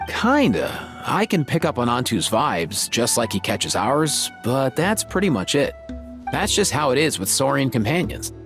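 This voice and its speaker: A man's voice: medium pitch, sing-song, a little slow, fairly bright tone. An actor playing a laid-back, confident young man in a video game, explaining things in casual slang. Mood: breezy. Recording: music under the voice. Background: background music.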